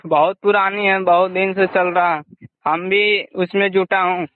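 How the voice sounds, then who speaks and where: A man working as a recruiter, making an unscripted pitch over the phone. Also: fairly even intonation, unhurried, no room sound. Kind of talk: unscripted sales phone call. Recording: tinny, narrowband phone line.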